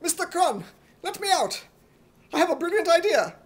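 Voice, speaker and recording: A performer playing an excitable, naive and desperate male hero with an imitation British accent in a radio comedy. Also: extremely high pitch, moderate pace, pitched above the performer's own voice, full character voice. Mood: excited, pleading.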